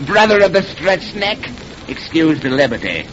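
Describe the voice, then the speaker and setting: A sly pirate captain who commands with silky mockery. His theatrical voice swings widely in pitch. It comes from an old radio horror play, dull in sound with some hiss. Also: sing-song, slow pace, a bit bright, heavily acted. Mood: mocking, grand. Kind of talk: old-time radio horror drama acting. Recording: muffled, noisy old radio recording.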